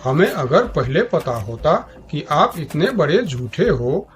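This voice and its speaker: A doubting cartoon forest beast, played by a man, talks slowly in a slightly high male voice. Faint music sits underneath. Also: sing-song. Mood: disbelieving, scolding. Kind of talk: cartoon story character dialogue.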